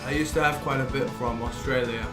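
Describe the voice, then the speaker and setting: A man's voice: mid-range voice, fairly even intonation, fairly quick. A young man streaming a game, chatting casually and rambling with his viewers. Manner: rambling, laid-back, uses bro and dude. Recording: loud game music behind the voice. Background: loud video game music.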